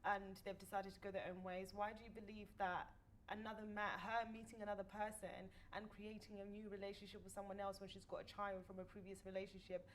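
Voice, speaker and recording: Woman questioning everything, talks really fast. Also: medium pitch, level tone, slight background noise. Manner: probing, questioning. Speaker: podcast guest. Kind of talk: casual debate.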